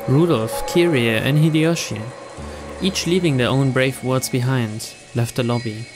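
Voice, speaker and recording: Steady male novel reader. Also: mid-range voice, a little slow, music in the background. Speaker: narrator. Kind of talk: reading visual novel narration.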